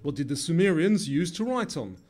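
A man's voice: fairly high pitch, sing-song, brisk, a little mellow. A middle-aged man reading scripted quiz narration as the presenter. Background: background music.

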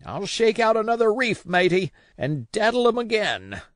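A man voicing a weak, feverish old sea captain who curses and pleads in rough sailor talk. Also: high voice, sing-song, moderate pace, dry recording, clean studio sound, pitched above the performer's own voice. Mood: agitated. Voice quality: feeble, wheedling.

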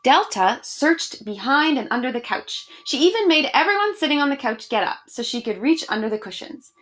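A woman's voice: sits high, sing-song, moderate pace, a bit bright. A woman, reading and chatting expressively to young listeners as for an online storytime. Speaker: education director reading picture books online to children. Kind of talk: children's storybook read-aloud and friendly chat to viewers. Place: small room at home, indoors.

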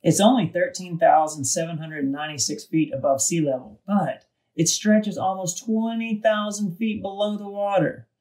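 Gravelly man reading, off the mic. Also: high voice, moderate pace, a little mellow, far from the mic, poor audio. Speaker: kids' devotional reader. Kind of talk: scripted devotional reading and prayer for children.

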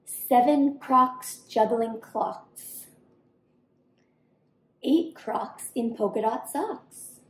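Young woman reading, high voice, roomy sound. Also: drawn-out words, pauses often, a little hiss or hum. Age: young adult. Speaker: storybook reader. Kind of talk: expressive children's read-aloud in rhyme. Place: home kitchen-dining room, indoors. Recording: roomy indoor echo.

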